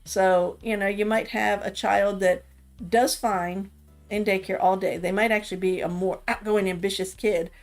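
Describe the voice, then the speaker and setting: A woman's voice: mid-range voice, fairly even intonation, moderate pace, soft background music. A middle-aged woman from the Washington, D.C. area, talking casually about raising kids and rambling with lots of "you know"s. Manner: rambling, thinks aloud with many "you know"s. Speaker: mother of the streamer. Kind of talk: casual chat about parenting.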